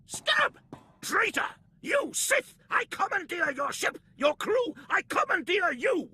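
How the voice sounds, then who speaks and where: An actor playing a deranged, pompous Imperial commander in a video game, barking imperious orders in a shrieking rant. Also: male voice, very high voice, very animated, slow, pushed and bright, slight background noise, heavily acted. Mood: manic rage. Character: deranged, pompous male Imperial military commander, ranting in rage.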